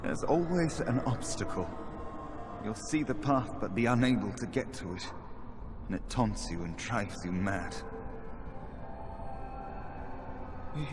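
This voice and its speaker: An actor playing a weary, despairing trapped mage in a video game, speaking in a halting, resigned, bitter manner. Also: male voice, fairly low pitch, expressive, over music, close to an everyday voice. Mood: bitter, hopeless. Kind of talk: dramatic video game dialogue. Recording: game audio with noisy ambience behind.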